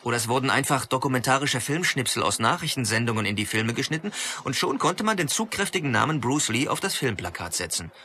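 Disdainful commentator, radio drama, emphatic, forceful bright tone, slight ambient noise. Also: male voice, mid-range voice, sing-song, fast, hardly any pauses. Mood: scornful. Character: scornful male film commentator. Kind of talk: scripted radio documentary commentary.

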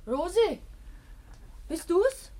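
An actress playing an anxious, innocent young village girl, a little sister in a German radio play, with a breathy voice. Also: extremely high pitch, very animated, very slow, warm tone, no echo, exaggerated voice. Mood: curious.

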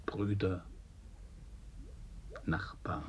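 Tiefen, spöttischen Seefahrer. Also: male voice, deep voice, drawn-out words, in a whisper. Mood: wry, musing. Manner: sardonic, declamatory, teasing. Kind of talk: German radio play acting, literary dialogue.

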